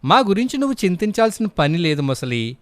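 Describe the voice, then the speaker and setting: A man's voice: high voice, big pitch swings, fairly quick, no echo, voice pushed up. A man voicing an arrogant, foolish fish with a boastful manner in a children's story. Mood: scoffing. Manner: boastful, scoffing. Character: cocky arrogant foolish fish dismissing advice.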